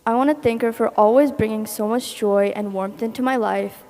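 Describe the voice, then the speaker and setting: A teenage girl giving a shy, giggly, halting speech about her older sister. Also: fairly high pitch, fairly even intonation, a little mellow. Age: teens. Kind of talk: nervous tribute speech. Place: event hall. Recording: long hall reverb.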